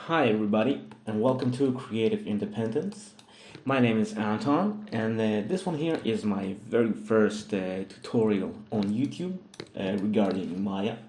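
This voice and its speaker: A man with a Russian accent, hosting a software tutorial in a hesitant way, with many fillers and frequent "all right?" checks. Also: lowish voice, normal speed. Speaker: tutorial host. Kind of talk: screen-recorded software tutorial. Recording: echoey room.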